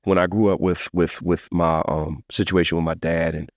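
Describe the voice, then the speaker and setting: A man's voice: sits low, level tone, moderate pace, no echo, clean studio sound. A man talking casually as a podcast host, earnest and emphatic, with slangy phrasing and repeated, circling phrases.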